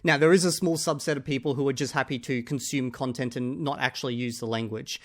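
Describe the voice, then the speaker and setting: A man reacting to another creator's video in casual, argumentative commentary, often pausing on "okay?" to drive his points home. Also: mid-range voice, steady tone.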